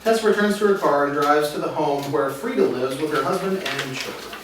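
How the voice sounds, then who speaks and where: A man's voice: on the high side, brisk. A man reading stage directions as the narrator of a script table read. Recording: distant mic, echoey room, faint noise.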